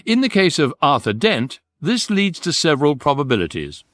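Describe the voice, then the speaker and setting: An actor playing the wry, learned narrator of a galactic guidebook in deadpan, articulate scripted radio comedy. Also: male voice, fairly high pitch, dry recording. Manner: deadpan, articulate, measured phrasing.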